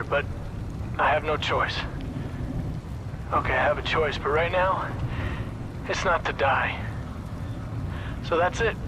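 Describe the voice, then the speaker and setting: Man playing a hapless, higher-voiced stranded scientist, noisy recording. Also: natural voice. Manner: deadpan, rambling, awkwardly second-guesses himself. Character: hapless stranded male archaeologist, wry, rambling, self-doubting. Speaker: scientist recording audio logs. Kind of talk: video game audio log acting.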